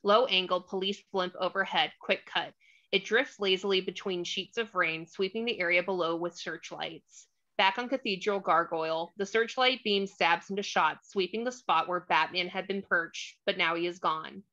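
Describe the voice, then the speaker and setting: A woman narrating screenplay stage directions in a crisp, dramatic scene-setting manner. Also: fairly low pitch, moderate pace, pushed and bright, lo-fi sound.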